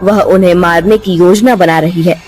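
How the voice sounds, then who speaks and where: A woman's voice: on the low side, steady tone, moderate pace, darkish tone, faint music behind. Woman narrating a cartoon story.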